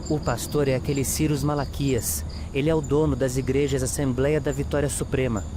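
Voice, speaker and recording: A man plays an earnest detective's sidekick in an audio drama. His mid-pitched voice is conversational and inquisitive, with clear ambient noise and crickets behind it. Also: flat delivery, brisk, natural voice. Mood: puzzled, curious.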